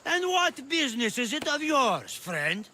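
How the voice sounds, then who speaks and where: Sharp, high-pitched menacing thug. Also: male voice, very animated, measured pace, slight background noise, full character voice. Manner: threatening, sneering. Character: menacing, sneering male thug guard, easily riled. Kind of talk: video game cutscene acting.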